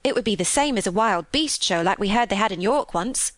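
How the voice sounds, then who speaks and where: A woman's voice: medium pitch, lively intonation, fairly quick, fairly bright tone, no room sound, clean studio sound, lightly acted. A woman voicing a cheerful young Yorkshire housemaid who chatters eagerly in folksy dialect for a storybook. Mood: excited.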